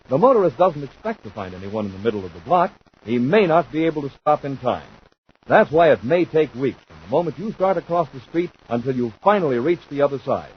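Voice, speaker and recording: A man narrating as a 1950s radio announcer, in a dramatic, deliberate scripted delivery. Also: fairly high pitch, slightly rough. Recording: muffled, noisy 1950s radio recording.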